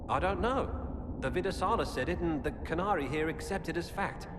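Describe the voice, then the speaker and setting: A man's voice: on the high side, lively intonation, a little fast, lightly acted. Man playing a grave former knight, echoing cavern. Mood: urgent, pleading. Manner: earnest, grave, urgent. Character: weary earnest former knight turned defector, grimly warning. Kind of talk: dramatic video game dialogue. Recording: cavernous reverb with loud background noise.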